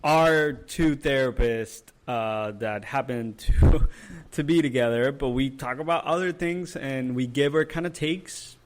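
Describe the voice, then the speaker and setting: A young man, born and raised in Puerto Rico with Spanish as his first language, co-hosts a podcast as a therapist. His voice sits at a middling pitch for a man, and he speaks casually, pausing on "um" and "uh" and often restarting his words. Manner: hesitant, many "um" and "uh", repeats words. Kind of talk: casual chat.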